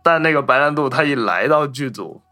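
Male podcast host, very bright mid-pitched voice, casual anecdotal storyteller, music underneath. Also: animated, a little fast. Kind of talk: casual film discussion, telling behind-the-scenes anecdotes.

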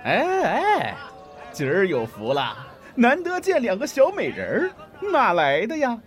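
Lecherous street hoodlum for a wuxia radio play: high, oily voice with extreme swoops, hammy leer. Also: male voice, huge pitch swings, measured pace, heavily acted. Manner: oily, exaggerated. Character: lecherous, leering male street thug. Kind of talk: Chinese wuxia audio drama acting.

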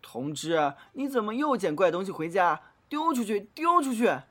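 A woman's voice: mid-range voice, big pitch swings, normal speed. Woman as a grumpy, swooping, curt inn companion. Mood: irritated, scolding. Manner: curt, dismissive, scolding. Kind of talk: fantasy audio drama acting.

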